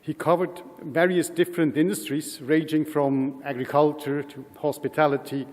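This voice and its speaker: A man hosts a conference and reads a formal, prepared introduction for the next speaker. His voice sits a touch high for a man. The sound rings with the long reverb of a large hall. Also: little pitch movement, normal speed, darkish tone. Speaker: event host introducing the speaker. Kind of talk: scripted introduction.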